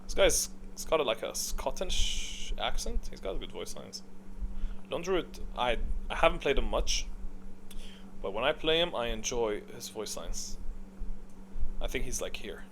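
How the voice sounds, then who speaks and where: A man's voice: mid-range voice, expressive, a little slow, vocal fry, a bit bright, a little hiss or hum, poor audio. A man giving casual, opinionated gaming commentary, rambling and thinking aloud in a blunt way. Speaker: gaming video creator.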